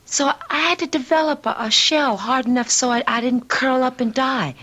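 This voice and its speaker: A woman's voice: sits high, steady tone, normal speed, fairly bright tone. An actress playing an earnest, lovestruck young woman with a hard shell, emotional and hesitant, in a radio mystery drama. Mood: earnest longing.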